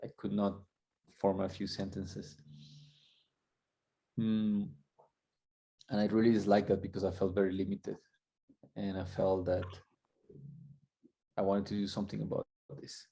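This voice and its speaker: Man from Colombia, guest coach, gravelly, flat, dark tone, reflective storyteller. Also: deep voice, rough recording. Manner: reflective, halting with restarts. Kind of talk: candid storytelling.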